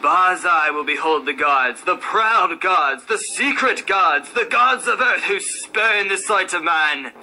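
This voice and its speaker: A man voicing a wild prophet in a horror story, declaiming and shouting in frenzy. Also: high-pitched, lively intonation, slow, few pauses, voice pushed up, heavily acted. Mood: exultant. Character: exultant wild old prophet, shrieking from ecstasy into terror. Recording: noisy radio station room.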